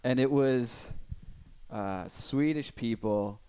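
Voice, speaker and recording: A man's voice: mid-range voice, slow pace, warm tone, a little hiss or hum. A man co-hosting a film podcast, speaking in a laid-back, hesitant way. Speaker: podcast co-host. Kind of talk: casual film podcast chat.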